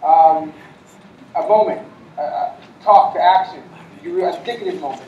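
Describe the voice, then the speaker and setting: High-voiced moderator in an echoey hall. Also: male voice, moderate pace, far from the mic, dull audio, slight background noise. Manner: energetic, addresses the crowd. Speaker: panel moderator. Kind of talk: moderating a panel, polling the audience. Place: large conference hall.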